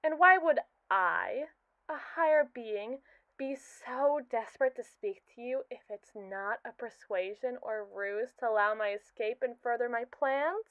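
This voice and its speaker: A condescending, scheming robot AI, voiced by a young woman talks in a wordy, arch and mocking way, leaning on slow, drawn-out words. The voice sits a little high and sounds smooth. It comes through dry and tinny, like a phone line. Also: no room sound, natural voice. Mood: sardonic. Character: haughty, scheming captive robot AI, sardonic and condescending. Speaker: ASMR roleplay performer. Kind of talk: scripted ASMR roleplay monologue.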